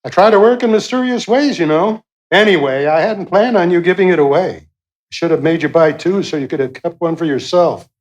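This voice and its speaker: A man performs God for a radio play, in a slightly high male voice. He is wry and affectionate, chiding with a folksy, teasing reassurance. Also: brisk, close to an everyday voice, low-quality recording.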